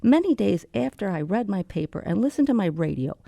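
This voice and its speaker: A woman playing a gentle, eccentric spinster in an amateur radio drama, speaking in a prim and earnest way. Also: medium pitch, very animated, a little fast, gravelly, dry recording. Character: gentle eccentric old maid fond of mice.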